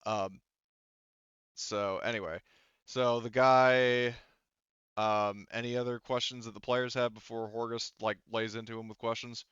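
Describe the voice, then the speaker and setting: Male game master, clear bright voice, improvised tabletop narration, emphatic but halting, searching delivery. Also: medium pitch, flat delivery, no echo, highs cut off. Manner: halting, discursive, emphatic storytelling.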